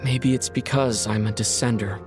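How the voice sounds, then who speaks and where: An actor playing a reflective young male traveler in a video game, speaking quietly and introspectively. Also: medium pitch, moderate pace, music in the background, natural voice. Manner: quiet, introspective, even-toned.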